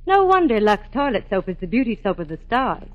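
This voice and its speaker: Bright-pitched, animated American radio woman. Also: fairly quick. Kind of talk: scripted chatty 1940s radio advertising. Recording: dull old 1940s radio broadcast.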